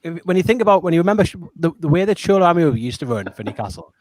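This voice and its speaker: A man's voice: fairly high pitch, big pitch swings, racing, no echo. A man with a Geordie accent chatting about football on a podcast, stumbling and repeating words as he goes. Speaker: podcast regular. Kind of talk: casual football chat.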